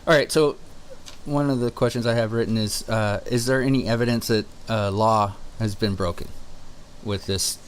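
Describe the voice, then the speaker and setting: A man calling on the phone to ask questions, halting and skeptical as he puts his points together. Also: lowish voice, steady tone. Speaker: caller. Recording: phone call recording with faint noise.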